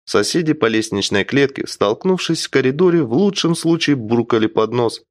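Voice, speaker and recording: A man's voice: mid-range voice, lively intonation, moderate pace, no room sound, studio quality. A man narrating an audiobook in the first person with a wry, theatrical storytelling delivery.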